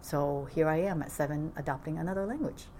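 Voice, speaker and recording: A woman, speaking haltingly and softly as an interviewee recalling her family's history. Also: sits low, a little hiss or hum. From Vietnam. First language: Cantonese. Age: 40s. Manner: halting, soft-spoken, frequent laughs.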